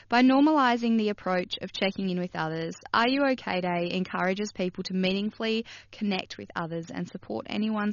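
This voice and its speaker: A woman hosting a radio health program, a little hoarse from losing her voice, chatting with her guest in a friendly way. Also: on the low side, no echo, highs cut off. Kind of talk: radio interview.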